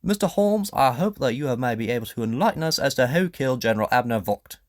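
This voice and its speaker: A man's voice: mid-range voice, expressive, fast, no room sound, clean studio sound, voice pushed up, natural voice. A man acting a proud, earnest king in an audio drama, speaking formally and emphatically with indignation and pleading.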